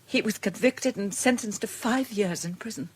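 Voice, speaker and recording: Husky, earnest British woman, played by an actress. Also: medium pitch, normal speed, lightly acted, poor audio. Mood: wistful, regretful. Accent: British English. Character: gentle, independent middle-aged woman with a wretched marriage. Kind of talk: period radio drama acting.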